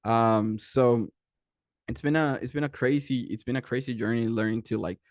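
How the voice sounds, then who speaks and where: A man's voice: mid-range voice, normal speed, no room sound, studio quality. A young man from Mexico, speaking English with a Mexican Spanish accent as a second language, tells a story in a casual presentation with lots of fillers and restarts. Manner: animated, rambling, many fillers and restarts. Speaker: guest speaker, animation creator.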